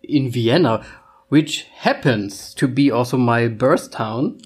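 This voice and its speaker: A man's voice: medium pitch, slow, breathy edge. A man in his thirties with a German accent, co-hosting a casual couple podcast in English and now and then searching for words. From Austria, raised in Germany. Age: 30s. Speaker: podcast co-host.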